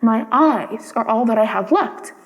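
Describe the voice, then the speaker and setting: A gentle, sorrowful prince statue from a storybook , played by a woman. The high voice pleads solemnly and nobly. Long reverb surrounds each word. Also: animated, a little slow. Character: sad, gentle, noble male prince statue.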